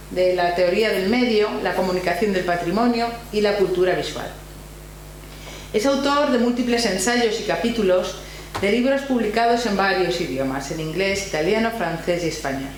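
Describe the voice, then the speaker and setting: A woman with an accent from Spain formally introduces a guest speaker at an academic seminar, partly reading and sometimes hesitating. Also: fairly high pitch. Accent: Spanish from Spain. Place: university library room. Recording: very echoey room, faint hum and noise.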